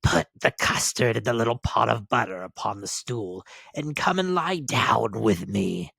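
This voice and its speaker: Man playing a sly, husky storybook wolf. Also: medium pitch, animated, a bit bright, no room sound, full character voice. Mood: coaxing. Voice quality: big rough voice. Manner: coaxing, slow, menacing. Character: cunning wicked big male wolf, hungry predator.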